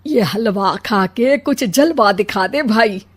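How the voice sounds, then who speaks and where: Woman as dramatic, slow-talking older mother-in-law. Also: medium pitch, animated, husky. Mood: conspiring. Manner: dramatic, complaining. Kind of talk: comic Hindi animated moral story.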